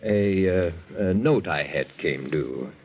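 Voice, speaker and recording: An actor playing an evasive, idle gambler husband, hesitant and defensive, in a 1940s radio drama. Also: male voice, sits low, lively intonation, slow pace, breathy edge, exaggerated voice. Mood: sheepish pleading. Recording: old 1940s radio broadcast.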